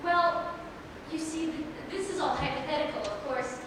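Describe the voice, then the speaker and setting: High-voiced earnest young princess played by a woman. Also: close to an everyday voice. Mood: hesitant. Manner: halting, earnest. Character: earnest, hesitant young princess, peacemaking daughter. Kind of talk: staged play reading. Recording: echoey hall, distant mic, background noise.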